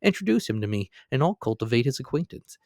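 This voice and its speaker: Male narrator, dramatic classic-novel reading, mid-pitched, dry close sound. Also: fast, dry recording. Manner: expressive, theatrical storytelling.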